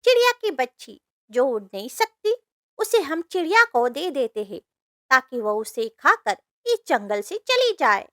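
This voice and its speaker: A woman's voice: high-pitched, big pitch swings, unhurried, fairly bright tone, dry recording, clean studio sound, pitched above the performer's own voice, exaggerated voice. A woman voicing an alarmist, scheming forest bird in a cartoon story, urgent, exclamatory and persuasive in delivery. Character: squeaky, alarmist forest birds, scheming and pleading. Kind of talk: Hindi cartoon story voice acting.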